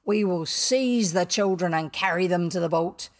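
A woman's voice: lowish voice, moderate pace, natural voice. A woman voicing a proud, brooding male pirate captain in a storybook, commanding and theatrical, drawing out exclamations. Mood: scheming.

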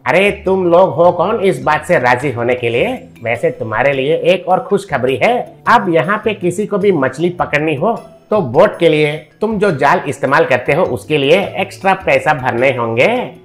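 A man's voice: on the high side, a bit bright, natural voice. A man playing a greedy, bullying rich village man in a cartoon story, domineering and threatening. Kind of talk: Hindi animated moral story dialogue.

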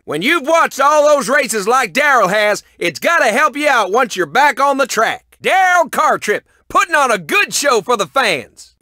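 An actor playing a folksy, excitable race announcer in a video game, exclaiming and stretching words for emphasis in projected sports commentary. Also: male voice, dry recording, studio quality, full character voice. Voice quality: projected, high-pitched.

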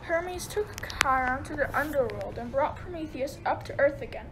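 A woman's voice: high-pitched, lively intonation, moderate pace. A woman narrating a radio play as scripted storytelling, sometimes stumbling over words. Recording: very noisy amateur recording.

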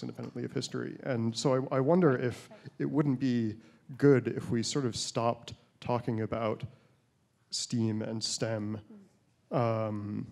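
A man's voice: mid-range voice, a little mellow. A man in the audience of a large lecture hall making a comment, hesitant with many fillers. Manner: hesitant, many ums and sort ofs. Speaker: audience member asking a question.

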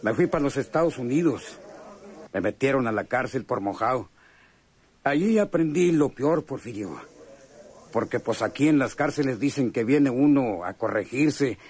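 An actor playing a brooding, unhinged killer in prison, with a rural Mexican accent, in a radionovela. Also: male voice, mid-range voice, lively intonation, moderate pace, darkish tone, breathy edge. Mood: weary confession. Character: brooding, unhinged male convict with a killer's urge. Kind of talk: radionovela acting.

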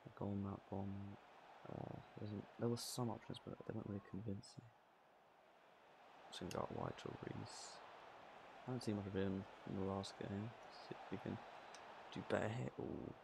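Man, croaky gravelly voice, lowish pitch, casual game commentary, noisy background. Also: moderate pace, pauses often. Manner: excitable, swears and laughs at own play. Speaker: gaming channel host.

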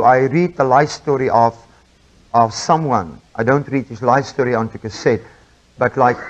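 A man's voice: medium pitch, dull audio. A man with an Afrikaans accent giving an informal sermon, chatty and full of fillers and restarts. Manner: chatty, self-interrupting with frequent fillers. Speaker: preacher.

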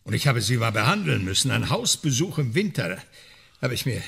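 An actor playing a fussy, droll doctor in a radio crime drama, speaking haltingly in a gravelly, husky voice. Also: male voice, medium pitch, very animated, fairly bright tone, exaggerated voice. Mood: droll disgust. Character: hesitant, droll male doctor, fussy card-table companion.

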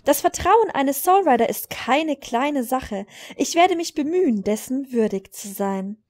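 Girl reading as formal wizard mentor guy in a fantasy game, solemn, measured, highish voice. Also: expressive, a little mellow. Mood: kindly, earnest.

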